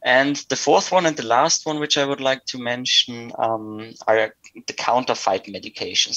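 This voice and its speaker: A man's voice: medium pitch, fairly bright tone, no echo, rough recording. A man from Austria with an Austrian accent, a native German speaker, explaining things methodically as an interview guest. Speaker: interview guest, sales director.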